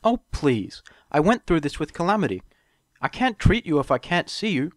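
A prim mare medic voiced by a man for an audiobook, pitched well above his natural voice. She is ladylike, bossy and easily exasperated, in a dry sound. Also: big pitch swings, moderate pace, no echo. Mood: concerned, exasperated. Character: Velvet Remedy, ladylike female pony medic, bossy, scolding. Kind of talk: audiobook character voice.

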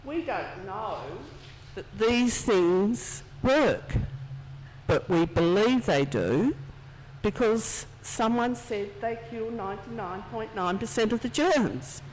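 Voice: medium pitch, animated, slow, a little hiss or hum. A speaker giving a children's talk in church, chatty and conversational as they tell a story. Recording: distant, reverberant church hall sound.

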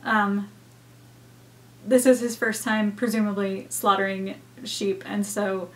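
Brief: young woman, home reaction host, hesitant reflective chat, mid pitch, webcam at desk. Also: steady tone, takes it slowly. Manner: thoughtful, hesitant, many fillers. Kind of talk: casual reaction commentary. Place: home room with bookshelves.

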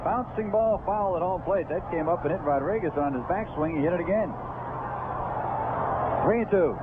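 A man's voice: high voice, normal speed. A man calling baseball play-by-play live on the radio in short, clipped phrases from a stadium booth. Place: broadcast booth at outdoor stadium. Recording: tinny, very noisy 1970s AM radio broadcast.

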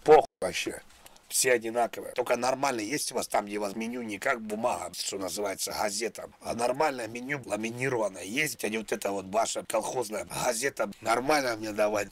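A man's voice: lowish voice, brisk. A man livestreaming in a coarse, mocking and belligerent way, ranting with constant swearing. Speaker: livestreamer making prank calls.

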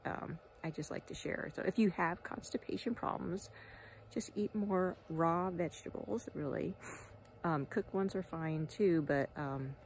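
A woman's voice: sits low, a little mellow, a little hiss or hum, rough recording. A woman in her forties, a wellness guide, chatting casually to her phone camera outdoors, rambling with many fillers and sometimes dropping to a whisper. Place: outdoors at a ranch beside a travel trailer. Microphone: phone held at arm's length.

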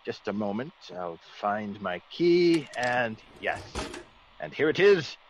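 An actor playing a genteel, easygoing English gentleman with polite, old-fashioned exclamations, in a classic radio drama. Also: medium pitch, sing-song, takes it slowly, a little hiss or hum. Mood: genial, dismissive. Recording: dull old radio recording.

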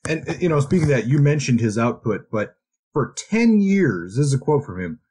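A man's voice: mid-range voice, very animated, fairly quick, darkish tone. A man with a mid-range voice talks casually about books as a podcast co-host. He is conversational and tends to think aloud, feeling his way through each point. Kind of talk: casual book discussion.

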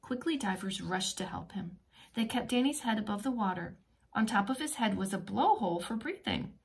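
A woman preschool teacher reading a children's picture book aloud in an expressive, teacherly way with simple asides. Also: mid-range voice, moderate pace, gravelly.

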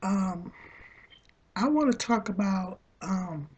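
A woman's voice: mid-range voice, animated, takes it slowly, a little mellow, husky. A woman in her thirties with a cold, talking to her laptop webcam at home in a rambling way, full of ums and repeats. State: has a cold, hoarse voice. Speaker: vlogger. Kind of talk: casual talk to camera. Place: small room at home, indoors. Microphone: laptop webcam at desk.

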